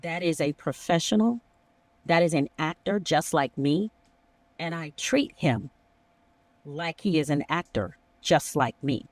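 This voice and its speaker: A woman's voice: deep voice, lively intonation, unhurried, no echo. Middle-aged female podcast host, emphatic and conversational, close dry recording. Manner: emphatic, acts out imagined dialogue. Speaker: podcast host, emergency dispatch veteran. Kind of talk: conversational discussion.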